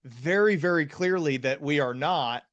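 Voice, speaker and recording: A man's voice: on the high side, normal speed, fairly bright tone, dull audio. An American man chatting casually as the host of an interview podcast.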